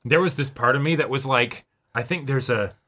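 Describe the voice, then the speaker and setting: A man with a mid-pitched, bright and crisp-edged voice, chatting casually as a reaction-show co-host. His phrasing circles around, restarting and slipping in asides as he works toward his point. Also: fairly quick. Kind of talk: casual chat about an anime episode.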